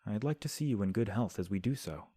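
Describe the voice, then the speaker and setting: A man voicing a composed young prince in an audio drama, speaking in a low, mellow tone with calm, measured formality. The sound is dry and close, with no room around it. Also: breathy edge, no room sound. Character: composed young male prince giving counsel. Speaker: voice actor. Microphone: home recording.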